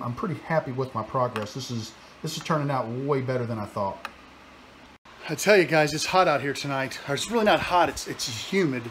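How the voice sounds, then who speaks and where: A man in his forties talking casually through his workshop projects, relaxed and chatty with many fillers and restarts, filmed on a phone held at arm's length in his home workshop. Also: mid-range voice, a little hiss or hum. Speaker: woodworking and metalworking hobbyist. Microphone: phone camera held at arm's length.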